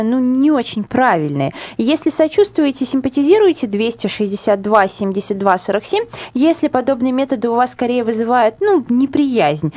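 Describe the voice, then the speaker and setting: A woman hosting a radio talk show, lively, putting questions to listeners and reading out phone numbers from a studio. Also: a little high, no echo. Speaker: radio host. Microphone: studio microphone.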